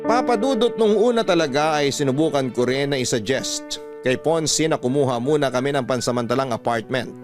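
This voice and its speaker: Filipino man narrating over soft music. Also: medium pitch, animated, brisk, hardly any pauses. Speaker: narrator of a letter-based love story drama. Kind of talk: scripted first-person radio drama narration.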